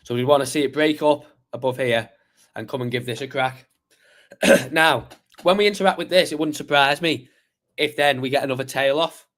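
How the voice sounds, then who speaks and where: A man hosts a live crypto stream, his voice a little croaky today. He is mid-pitched and talks through charts in a loose, rambling way, full of fillers and restarts. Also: moderate pace, fairly bright tone. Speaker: crypto livestream host. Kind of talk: casual live chart analysis.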